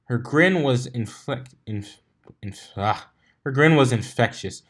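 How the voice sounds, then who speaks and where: A man with a medium-pitched voice narrates a story aloud. His delivery is informal and amateur, with the occasional stumble as he reads. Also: expressive, takes it slowly, fairly bright tone. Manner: informal, often stumbles and rereads lines. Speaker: fan fiction reader and narrator.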